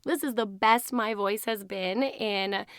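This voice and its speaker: A hoarse woman hosting her podcast in a casual, chatty way, laughing often. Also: medium pitch, slow, fairly bright tone, dry recording, clean studio sound. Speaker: podcast host. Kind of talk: casual chat.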